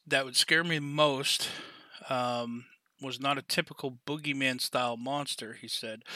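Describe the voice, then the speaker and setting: A man in a casual podcast discussion, talking haltingly and hedging as he goes. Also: medium pitch, pushed and bright, no echo.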